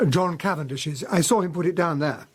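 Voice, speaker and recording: Excitable English gentleman, upper-class accent, husky voice, dry close sound, earnest, radio drama. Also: a little high, moderate pace, dry recording. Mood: matter-of-fact. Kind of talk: radio drama acting and narration.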